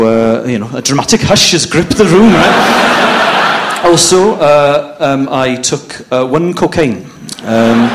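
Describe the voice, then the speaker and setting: A Welsh man whose first language is Welsh, doing a stand-up comedy set on a club stage in a deadpan storytelling manner, jumping into shrill voices when he quotes people. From Wales. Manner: deadpan storytelling with sudden high-pitched acted quotes. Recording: live club recording with audience noise.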